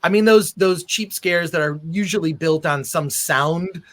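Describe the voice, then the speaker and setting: A man hosting a podcast interview in casual chat, haltingly repeating words as thoughts form. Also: a little high, fairly bright tone.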